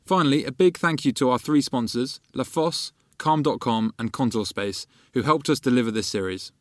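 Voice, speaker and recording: A male podcast co-host reading scripted announcements in an even, measured manner. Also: mid-range voice, dry recording, clean studio sound. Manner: reads announcements evenly. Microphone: studio mic.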